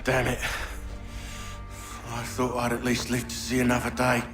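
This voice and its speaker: An actor playing a cynical, sneering mercenary thug in a video game, with a taunting manner and rough, colloquial speech. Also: male voice, medium pitch, completely flat delivery, slow, over music, natural voice. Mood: bitter, indifferent. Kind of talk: dramatic video game acting.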